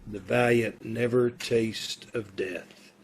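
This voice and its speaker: Radio play condemned prisoner, male actor: slow, hesitant, gentle, quietly courageous, middle register. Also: mid-range voice, fairly even intonation, slight background noise. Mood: solemn, resolute. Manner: hesitant, halting delivery. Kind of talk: old-time radio play acting. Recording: home video-call recording with music.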